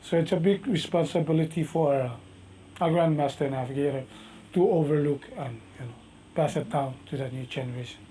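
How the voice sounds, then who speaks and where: Micronesian master navigator, middle-aged man, mellow voice, unhurried halting delivery, faint background hum. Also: on the high side, low-quality recording. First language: a Micronesian language. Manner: slow, thoughtful, halting speech with many fillers. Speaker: master navigator and canoe captain. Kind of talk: interview answers.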